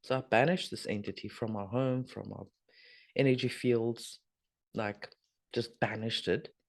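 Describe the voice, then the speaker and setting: A middle-aged woman, hoarse and husky from a sore throat, chatting casually as host of a spiritual video update with rambling, trailing asides. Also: rumbling low, steady tone, measured pace. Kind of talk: casual spoken energy update.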